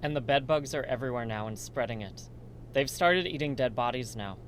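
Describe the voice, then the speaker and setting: An earnest male research assistant acted by a man in a radio play. He speaks with worry in a mid-range voice, with faint rumbling noise on the recording. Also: brisk, natural voice, rough recording. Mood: concerned. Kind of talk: amateur radio drama dialogue.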